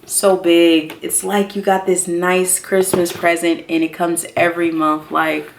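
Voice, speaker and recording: A woman in her thirties chatting casually and excitedly to viewers as a beauty vlogger, expressive and slangy, repeating herself for emphasis. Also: on the low side, measured pace, vocal fry, a little hiss or hum. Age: 30s. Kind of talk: casual excited unboxing chat.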